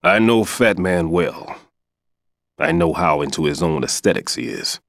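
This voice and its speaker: An actor playing an older male bomb disposal expert, a patient mentor who explains things in a measured, instructive way in a game cutscene. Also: low voice, a bit bright. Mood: calm instructive. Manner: instructive, reflective. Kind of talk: video game cutscene dialogue. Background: music score.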